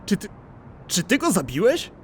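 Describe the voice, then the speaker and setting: Man playing a high, panicky henchman guard. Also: huge pitch swings, normal speed, slight background noise. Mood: alarmed. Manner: flustered, stammering repeats. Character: panicky male henchman guard, nervous and flustered. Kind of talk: comedic dubbed dialogue.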